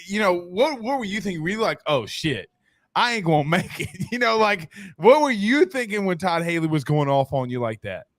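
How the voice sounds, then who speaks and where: Guy hosting sports podcast, pretty high voice, dry close sound, super informal and animated. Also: moderate pace, no echo. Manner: animated, informal, peppers talk with "you know, like". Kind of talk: casual chatty interviewing.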